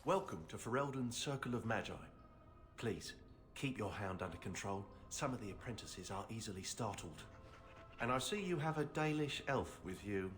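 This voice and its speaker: Courteous mage official, music behind. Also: male voice, fairly low pitch, lively intonation, fairly bright tone. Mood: polite, formal. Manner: polite, measured, formal. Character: courteous, reserved male official of a mages' circle. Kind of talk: scripted fantasy game dialogue.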